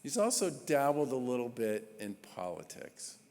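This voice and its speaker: A man in his sixties delivers an earnest gala introduction at a podium mic, leaning into key phrases and pausing between them. His mid-pitched voice carries a long reverb from a large church hall. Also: very animated, darkish tone. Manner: earnest, emphatic speaking with measured pauses. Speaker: state governor introducing an award honoree. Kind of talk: formal introduction speech at a gala.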